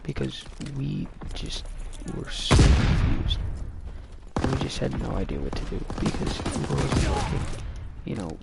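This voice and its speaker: A young adult man telling a personal story casually, in a rambling, halting way. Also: medium pitch, gravelly, heavy background noise.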